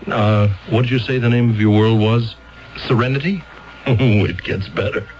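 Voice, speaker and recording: An actor playing a flustered defense attorney who stammers and sounds excitable and incredulous in a 1970s radio drama. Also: male voice, medium pitch, moderate pace, lightly acted, rough recording.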